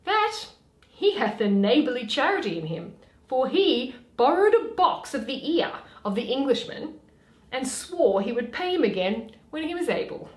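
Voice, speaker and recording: A woman's voice: sits high, sing-song, normal speed. A woman playing a witty, mischievous young heiress in a theatrical Shakespearean reading, arch and teasing. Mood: mocking. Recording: roomy, echoey home recording.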